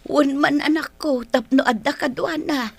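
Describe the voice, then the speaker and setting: A woman's voice: high voice, fairly even intonation, moderate pace, gravelly. An actress playing a patient older mother and wife with an Ilocano accent, coaxing and mildly chiding in a radio drama. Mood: chiding, soothing. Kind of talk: Philippine radio drama acting.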